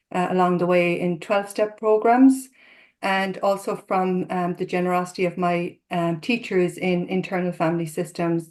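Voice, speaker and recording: A woman's voice: on the low side, a little mellow. A middle-aged Irish woman, a therapist, giving an earnest, openly personal talk to an online recovery group over a video call. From Ireland. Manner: earnest, open and self-disclosing. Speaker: therapist and guest speaker.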